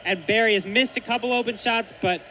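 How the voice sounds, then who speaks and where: A man giving excited live basketball analysis as color commentator on a noisy broadcast. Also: high-pitched, a little fast.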